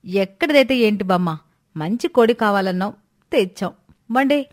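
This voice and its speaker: A woman's voice: mid-range voice, lively intonation, normal speed, natural voice. A woman voicing a cheeky, scheming young village woman in a moral storybook tale, bold, coaxing and insistent.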